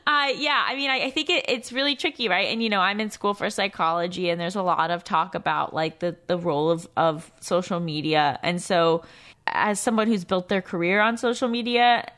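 A woman's voice: lowish voice, brisk, a bit bright. A woman in her thirties talking in a casual chat, chatty and laughing often, restarting her sentences. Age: 30s.

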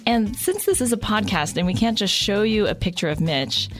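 A woman's voice: mid-range voice, lively intonation, normal speed, faint music behind. Wry woman co-hosting a podcast. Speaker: podcast co-host. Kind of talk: scripted podcast narration.

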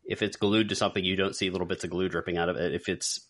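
A man's voice: low-pitched, steady tone, very fast, fairly bright tone. A man co-hosting a podcast, chatting casually. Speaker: podcast co-host. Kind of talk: casual chat.

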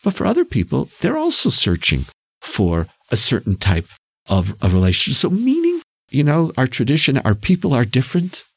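This is a man with a Canadian accent and a husky, creaky voice that sits on the low side. He explains reflectively in conversation, swinging widely in pitch as he goes. He drives his points home emphatically, often repeating a phrase. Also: huge pitch swings, moderate pace, dry recording.

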